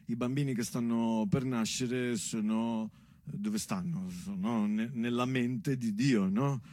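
A man performing a comic stage monologue, telling a story in a halting, conversational, wry way. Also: medium pitch, fairly even intonation, dark tone, no room sound.

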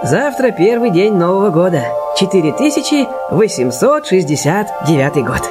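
An actor playing a polite, shrewd Chinese detective in a radio play, speaking in formal, deliberate phrases. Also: medium pitch, sing-song, loud music behind the voice, close to an everyday voice. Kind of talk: radio play acting with narration.

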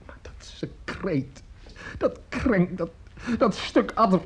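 An actor in a radio drama playing a bitter, drunken old widower, rambling and halting as he repeats his words in self-pity. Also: sits high, animated, breathy edge, exaggerated voice. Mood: bitter ranting. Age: older.

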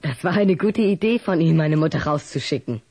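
A man's voice: a little high, expressive, a little fast, a little mellow, breathy edge. A young male actor playing a wary, cheeky schoolboy in an audio drama, guarded and defiant. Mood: cheeky, satisfied. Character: wary, cheeky schoolboy who loves scary books.

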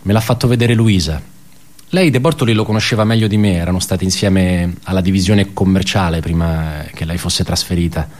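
An actor playing a wry, bitter male office worker in a theatrical radio monologue, halting and ironic as he tells his story. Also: sits low, a little mellow, lightly acted. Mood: ironic, reminiscing. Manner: halting, self-correcting, conversational, ironic.

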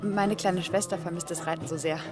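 An actress playing an eager, impressionable young woman, an engineer's daughter, in a German radio drama, slipping into hushed, impulsive asides. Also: fairly low pitch, steady tone, a little fast, natural voice. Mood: polite, eager. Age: young adult. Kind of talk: German radio drama acting with inner monologue. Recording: studio radio drama with music underneath.

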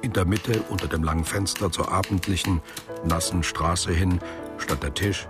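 An actor playing a sober, matter-of-fact male narrator in a literary radio play, speaking in a measured way. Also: sits low, steady tone, over music, lightly acted. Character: sober male narrator recounting a traveller's return.

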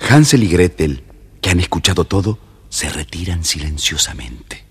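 A man narrating a children's fairy tale in an expressive, scripted style. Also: sits low, moderate pace, husky. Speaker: storybook narrator. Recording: noisy old storybook recording.

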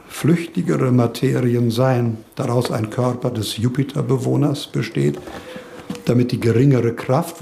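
An actor playing a pensive, scholarly eighteenth-century male philosopher in a radio drama, speaking in a deliberate, formal, learned manner. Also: medium pitch, vocal fry, mellow, husky. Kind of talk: radio drama monologue and lecturing.

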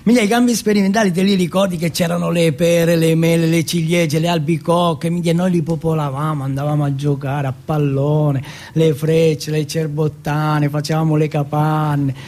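A man playing an excitable, foul-mouthed teenage street boy from Turin in a stage monologue, slangy and repetitive. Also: on the high side, normal speed, hardly any pauses, natural voice. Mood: excited reminiscing. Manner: slangy, repetitive, swearing at every breath.

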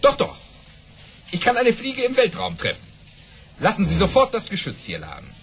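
Casting: self-important storytelling baron for audio play, highish voice, swooping theatrical delivery, light noise behind. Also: male voice, huge pitch swings, moderate pace, a bit bright, full character voice. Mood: cocky, commanding. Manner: grandiose, dramatic, boastful. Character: boastful baron telling tall tales of his exploits.

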